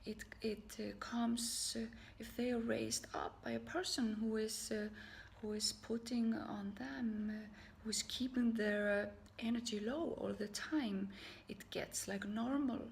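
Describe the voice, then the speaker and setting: Soft, halting woman with gravelly fry. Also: fairly high pitch, steady tone, slight background noise. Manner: halting, many fillers and restarts, soft and partly whispered. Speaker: yoga and energy healing teacher. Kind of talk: unscripted talk to camera.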